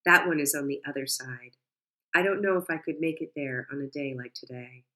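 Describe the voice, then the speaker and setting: A frail elderly Bulgarian woman talks quietly and plainly, as a woman reading the story voices her. Her voice sits low and soft, with nothing showy in it. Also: fairly quick. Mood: subdued.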